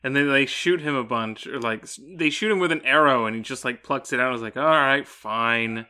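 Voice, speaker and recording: A man's voice: medium pitch, brisk, pushed and bright, clear, resonant tone. A man co-hosting a podcast, discussing a book casually with a wry, informal manner and some swearing. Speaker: podcast co-host.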